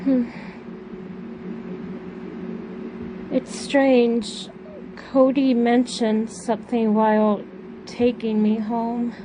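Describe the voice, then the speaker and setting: Over clear background noise, a woman plays a grieving daughter in an amateur audio drama. She is gentle and subdued, speaking very slowly and hesitantly, trailing off in a fairly high voice. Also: little pitch movement. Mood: wistful, downcast.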